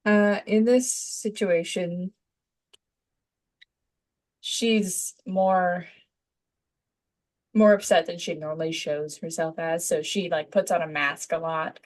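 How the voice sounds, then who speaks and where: A woman's voice: lowish voice, flat delivery, slow, long pauses. A woman student giving thoughtful answers in casual chat, tentatively searching for her words. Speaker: voice acting student.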